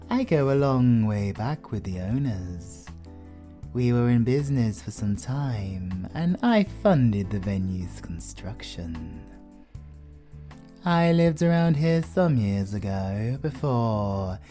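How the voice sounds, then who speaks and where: A man acts out a courtly British vampire lord for an audio roleplay. He is suave and flirtatious, with theatrical, widely swinging intonation and an unhurried pace. He teases the listener playfully and genteelly, with faint music behind him. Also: lowish voice, mellow. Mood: smooth, sly.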